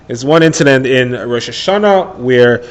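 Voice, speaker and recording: A man's voice: medium pitch, lively intonation, normal speed. A man explaining a daily Talmud page, retelling the story plainly and mixing in Hebrew and Aramaic terms. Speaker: Talmud teacher. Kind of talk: daily Talmud lesson for listeners.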